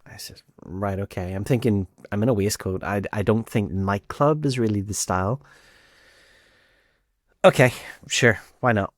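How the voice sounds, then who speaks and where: Northern irish guy chatting through a personal story, lowish voice, dry close sound, does the quotes. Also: normal speed, darkish tone, no room sound. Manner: conversational, acts out quoted dialogue. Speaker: host telling a personal dating story. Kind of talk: casual anecdotal storytelling.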